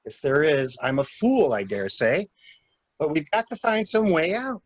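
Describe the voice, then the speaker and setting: A man's voice: a little high, sing-song, measured pace, no room sound. A man playing a cool, insistent stranger in an amateur dramatic reading of a murder mystery. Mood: urgent, insistent. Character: cool, insistent male stranger scheming to shield a suspect. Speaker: library staff reader.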